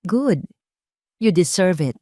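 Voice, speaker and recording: A woman's voice: fairly low pitch, animated, slow pace, dark tone, no room sound, clean studio sound. A woman with a Filipino accent voicing a humbled, regretful rich man in an audio drama, hesitant and subdued.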